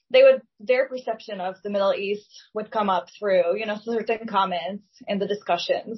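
A woman's voice: medium pitch, slightly rough, highs cut off. A woman from Lebanon who speaks English as a second language, talking thoughtfully and carefully in a reflective, conversational interview. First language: not English (English is second language). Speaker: guest.

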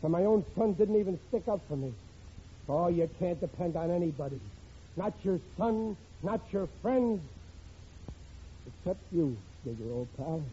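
A man's voice: high-pitched, lively intonation, normal speed, mellow. An actor playing a hapless, self-pitying middle-aged working-class father in a 1940s radio comedy, grumbling plaintively in ungrammatical speech. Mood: dejected, complaining. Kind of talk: 1940s radio sitcom acting. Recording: muffled vintage radio recording, faint noise.

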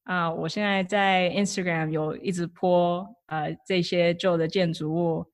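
A woman with a slightly low voice talks as an interview guest. She tells personal stories conversationally, in a reflective and informal manner, thinking aloud as she goes. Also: fairly even intonation, measured pace. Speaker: podcast guest, architecture researcher.

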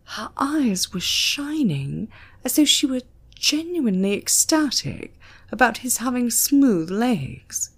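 A British woman narrates fiction as a dramatic audiobook reader. Her delivery is slow and deliberate, and her pitch moves widely through the sentences. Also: sing-song, husky.

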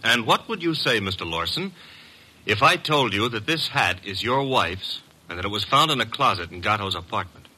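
Cocky, forceful detective sidekick. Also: male voice, on the low side, animated, moderate pace, slightly rough, exaggerated voice. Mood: cool, probing. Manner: breezy, slangy, sardonic. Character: wisecracking, streetwise male private detective's assistant, cocky. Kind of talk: old-time radio detective drama acting. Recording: noisy old radio drama recording.

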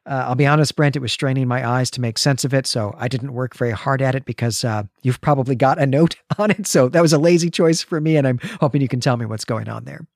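A man's voice: medium pitch, quick pace, hardly any pauses, dry recording. Male podcast host, middle-aged. Casual, conversational, thinks aloud with asides, dry close recording. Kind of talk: conversational comics discussion.

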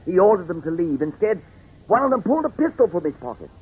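An actor playing a fussy, wordy gentleman's valet, prim, hesitant and deferential, in 1940s radio style. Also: high-pitched, sing-song, fast, husky, narrow, tinny audio, heavily acted. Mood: agitated recounting. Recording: thin, band-limited old radio recording.

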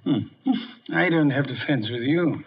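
An actor playing an imperious, irritable older genius detective in a 1950s radio drama, speaking in a formal, curt, grumbling manner. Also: male voice, medium pitch, measured pace, husky. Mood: haughty, dry. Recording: dull vintage radio broadcast recording.

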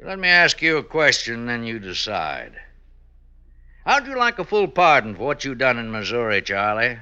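An actor playing a bold, goading American sheriff in an old-time radio western, with a folksy, insistent way of talking. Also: male voice, medium pitch, huge pitch swings, normal speed, pushed and bright, clean tone, exaggerated voice. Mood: bold, coaxing. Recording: dull vintage radio recording, faint music.

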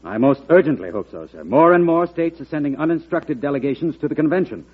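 An actor playing a deferential, eager newspaper editor and political aide in an old-time radio drama, respectful and earnest. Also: male voice, a little high, expressive, quick pace, husky. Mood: urgent, respectful. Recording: dull lo-fi old radio broadcast.

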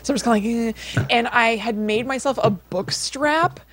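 A woman's voice: a little high, moderate pace, fairly bright tone, dry recording. A woman co-hosting a podcast, telling stories in a chatty, informal way peppered with "like". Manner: chatty, informal, frequent use of "like". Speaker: podcast co-host. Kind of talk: casual podcast storytelling.

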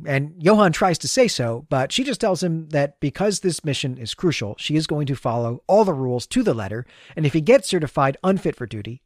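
Dry-sounding man, thinking out loud. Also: medium pitch, no room sound. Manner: tentative, thinking aloud, repeats words. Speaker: podcast co-host. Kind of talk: conversational literary discussion and story recap.

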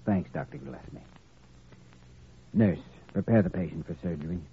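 An American actor playing a determined, compassionate hospital doctor in a 1940s radio drama. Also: male voice, deep voice, normal speed, creaky, slight background noise. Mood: urgent, resolute. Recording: old radio recording, tinny narrow band.

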